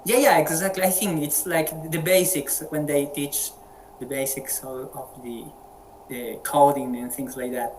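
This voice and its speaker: Colombian man moderating, halting and repetitive. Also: fairly high pitch, fairly even intonation, a little mellow, slight background noise, rough recording. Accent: Colombian Spanish. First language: Spanish. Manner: friendly, halting with frequent word repeats. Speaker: meeting moderator. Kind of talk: casual online group chat. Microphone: video call.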